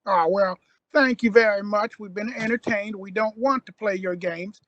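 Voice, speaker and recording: A woman's voice: mid-range voice, moderate pace, dry recording, lo-fi sound, lightly acted. A woman playing a brash, impatient young male traveller in an audio drama, blunt and defiant in manner.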